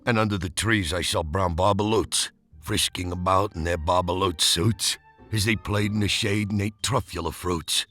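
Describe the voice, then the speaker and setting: A man playing a secretive old storybook hermit, wistful yet self-justifying, telling his tale in a drawn-out, dramatic way. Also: low-pitched, fairly even intonation, pushed and bright, faint music behind. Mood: nostalgic.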